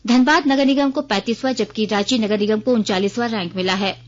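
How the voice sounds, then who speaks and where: An Indian-accented woman reads a formal radio news bulletin from a script. Her voice sits a little high in pitch. The broadcast sound is somewhat muffled and lo-fi. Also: a little fast. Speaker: news reader. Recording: dull, band-limited radio broadcast sound.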